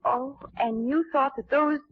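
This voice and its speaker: A woman's voice: high-pitched, fairly even intonation, measured pace, no room sound, phone-quality sound. A woman playing a poised, curious society lady, a detective's companion, in 1940s radio drama, polite in manner. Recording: thin, band-limited old radio recording.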